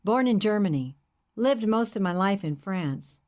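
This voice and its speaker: A woman's voice: mid-range voice, animated, measured pace, a little mellow, natural voice. A woman voicing an exhausted, apologetic man who is lost and far from home. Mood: weary. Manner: weary, apologetic. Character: exhausted, lost middle-aged European man.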